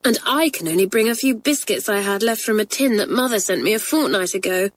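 A woman's voice: mid-range voice, animated, a little fast, voice pushed up, lightly acted. A woman voicing a sensible, good-natured schoolgirl who talks in a friendly, plain way. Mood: rueful.

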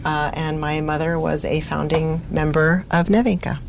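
A woman's voice: sits low, little pitch movement, moderate pace, gravelly, a crowd in the background. A woman singer from Los Angeles introducing herself and explaining a song plainly. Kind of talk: casual spoken introduction.

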